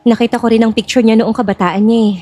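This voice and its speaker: A woman's voice: on the high side, fairly even intonation, quick pace, warm tone, faint music behind, natural voice. A woman playing an earnest, guarded young bride-to-be in a Filipino audio drama, speaking conversationally. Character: Jasmine, worried young bride-to-be of a rich old man.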